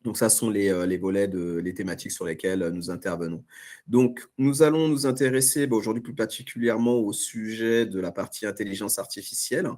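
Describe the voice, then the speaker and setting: A man's voice: lowish voice, a little fast, a little mellow. A man hosting a training webinar over a video call, introducing the topics and handing over to the speaker, with frequent fillers and restarts. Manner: hesitant, many fillers and restarts. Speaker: webinar host from the training company.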